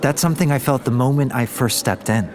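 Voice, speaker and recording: A man playing a young Korean business heir, a sincere and gently teasing suitor in a romantic audio drama. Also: medium pitch, brisk, a crowd in the background, close to an everyday voice. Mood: warm, sincere.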